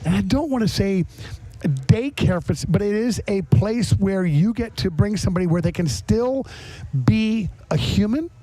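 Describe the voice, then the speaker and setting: A middle-aged American man hosts a relaxed, chatty interview podcast. His voice sits a little high and is picked up close and dry. He talks in anecdotes and leans on words for emphasis. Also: moderate pace, no room sound, low-quality recording. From Florida, US. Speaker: podcast host. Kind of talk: casual chat interview. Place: on location at a garage.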